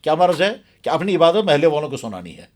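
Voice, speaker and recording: Earnest male cleric, slightly high voice. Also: sing-song, fast talker. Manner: earnest, emphatic, repeats phrases for stress. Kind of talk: explanatory religious talk in an interview.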